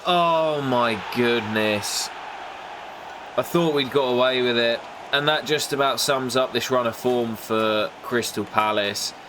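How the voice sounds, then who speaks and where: A young man with a nasal voice from a cold, commentating his football game in a casual play-by-play that jumps up excitedly at big moments. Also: slow pace, noisy background. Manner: excitable, bursts up in pitch at goals and misses. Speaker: gaming YouTuber commentating his career mode.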